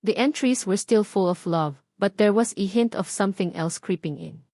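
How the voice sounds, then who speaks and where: A woman's voice: mid-range voice, normal speed, darkish tone, dry recording, clean studio sound. A woman with a Filipino accent reading a scripted romance story as a dramatic audiobook narrator.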